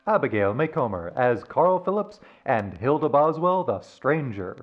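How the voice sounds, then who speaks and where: Lively-pitched male announcer, slightly muffled. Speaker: announcer reading closing credits. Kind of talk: scripted radio-show sign-off. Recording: slightly dull, lo-fi sound.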